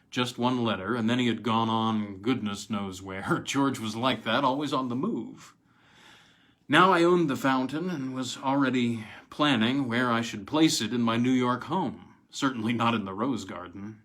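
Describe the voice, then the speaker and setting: A man narrates an old piece of short fiction in a dramatic storytelling style, phrasing deliberately with pauses. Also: medium pitch, a bit bright.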